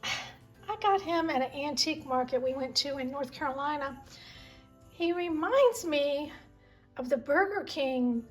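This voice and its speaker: Chatty woman with a raspy cold voice. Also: high-pitched, fairly bright tone. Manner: chatty, fond, meandering. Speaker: vlog host. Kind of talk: casual home tour chat. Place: home kitchen.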